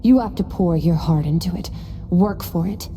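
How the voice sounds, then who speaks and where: A woman's voice: fairly low pitch, normal speed, mellow, a little hiss or hum, natural voice. A woman doing a cool, stern swordmaster. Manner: cool, firm, lecturing. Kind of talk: game voice acting.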